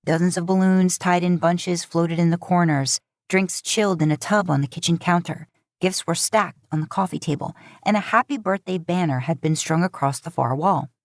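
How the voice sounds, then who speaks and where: A woman narrating a story from a script in an expressive reading style. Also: lowish voice, moderate pace, no room sound, studio quality. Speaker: podfic narrator, podcast host.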